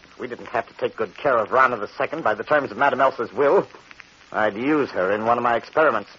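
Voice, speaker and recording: An actor playing an arrogant, obsessive scientist husband in a 1940s American radio horror drama, emphatic, impatient and dismissive. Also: male voice, mid-range voice, fast talker, bright, forceful tone, slightly rough. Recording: dull, noisy 1940s radio recording.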